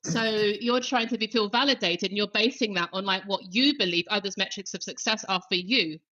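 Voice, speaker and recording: Chatty woman, bright voice, dull remote audio. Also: medium pitch, brisk. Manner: chatty, many fillers and self-corrections. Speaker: podcast guest. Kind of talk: casual podcast conversation.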